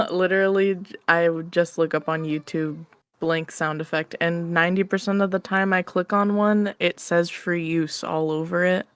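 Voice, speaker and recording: Female podcast guest, slightly low register, dry recording, relaxed informal conversation. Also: normal speed, no room sound. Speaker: interview guest, audio roleplay creator. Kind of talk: casual chat.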